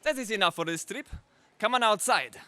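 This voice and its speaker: Theatrical, excitable old male scientist played by an actor. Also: high-pitched, a little fast, no room sound, full character voice. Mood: commanding. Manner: theatrical, exclamatory. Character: excitable elderly scientist ally, loyal and commanding. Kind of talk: 1930s space serial radio acting.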